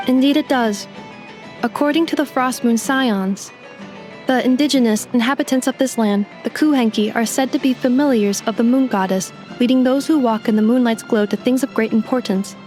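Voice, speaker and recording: A woman's voice: on the high side, normal speed, darkish tone, close to an everyday voice. A woman voicing a formal robot guide. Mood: calm. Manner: precise, formal, factual. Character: polite female robot guide assistant. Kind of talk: video game dialogue. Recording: game audio with music behind.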